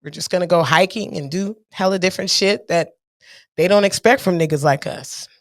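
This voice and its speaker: A woman's voice: on the low side, fairly quick, no room sound, studio quality. An American woman in her thirties chatting casually as a podcast host, candid, slangy and freely swearing. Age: 30s. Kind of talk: casual chat.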